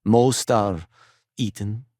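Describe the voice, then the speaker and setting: A deep-voiced, fussy corporate stickler. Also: male voice, slow, a little mellow, no echo, clean studio sound. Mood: measured, reflective. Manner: precise, formal, clipped, dryly condescending. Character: fussy, punctual, condescending male corporate office worker. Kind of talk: video game voice acting.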